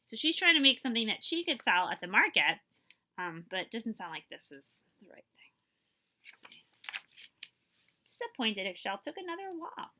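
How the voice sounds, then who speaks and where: A woman librarian reading a picture book aloud to children, with expressive storytelling and explanatory asides and questions for her listeners. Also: on the high side. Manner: expressive storytelling, pausing to check understanding with listeners.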